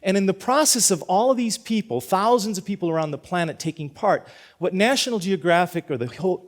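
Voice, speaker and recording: A middle-aged man from Cuba, a teacher, tells a personal story in an earnest, measured way. His voice sits a little above the usual male pitch. He restarts and repeats words as he goes. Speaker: teacher giving a talk. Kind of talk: prepared personal talk.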